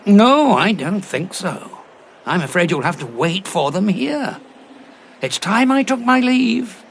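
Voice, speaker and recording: An actor playing an eccentric, grave time-traveller, theatrical and deliberate, in a sci-fi audio drama. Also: male voice, sits high, big pitch swings, gravelly, a bit bright, slight background noise, full character voice. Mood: cool, resolute. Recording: music bed behind the dialogue.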